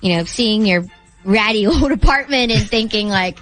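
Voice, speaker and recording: A woman's voice: medium pitch, normal speed, a bit bright. A woman co-hosting a radio show, giving casual, colloquial and opinionated commentary. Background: background music.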